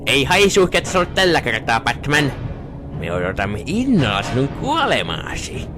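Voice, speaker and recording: Faint music backs a man performing a wicked dark wizard. He is grandiose and petty, delivering villain talk with mock courtesy and a swinging pitch. It is cartoon parody fare. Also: huge pitch swings. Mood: gloating. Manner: grandiose, mock-polite, gloating. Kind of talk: comic cartoon parody fan dub.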